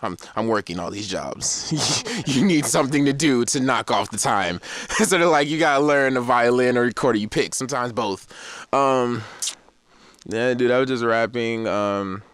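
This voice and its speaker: A young man talking in a loose, rambling way, often laughing between thoughts. His voice is smooth and resonant, recorded close and dry like a podcast mic. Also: medium pitch, normal speed, fairly bright tone, no echo. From Florida. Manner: laid-back, rambling storytelling with laughs. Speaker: rapper in a band. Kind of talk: casual interview chat.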